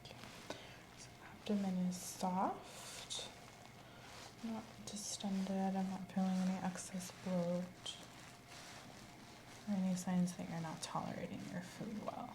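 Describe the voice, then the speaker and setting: A woman in an ASMR roleplay as a nurse, giving calm, gentle clinical instructions and sometimes dropping into a whisper. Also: fairly low pitch, unhurried, pauses often, noisy background. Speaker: ASMR creator playing a nurse. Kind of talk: ASMR medical examination roleplay. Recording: roomy echo with clear background ambience.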